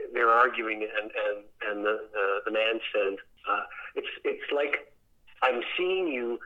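A man speaking as a theatre director in a conversational interview, reflective and halting, often repeating words as he thinks. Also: mid-range voice, phone-quality sound.

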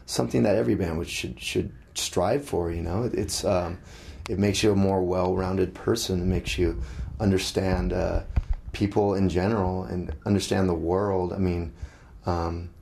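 A middle-aged musician being interviewed, talking casually with many halting restarts. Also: male voice, low-pitched, fairly even intonation, gravelly, darkish tone, a little hiss or hum.

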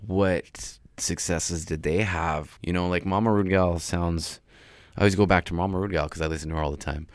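Guy w a really deep voice, rambly interview chat, dry close mic. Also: fairly even intonation, moderate pace, dry recording. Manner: loose, rambling, many restarts. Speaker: rapper guest. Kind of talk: casual interview talk.

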